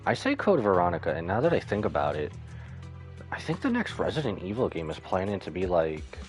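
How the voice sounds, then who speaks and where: A man's voice: deep voice, huge pitch swings, fairly quick. A man livestreaming casually, thinking aloud in a rambling way as he chats over a game. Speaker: live streamer. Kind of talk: casual gaming stream chat and commentary. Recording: game music behind the voice. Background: video game music and dialogue.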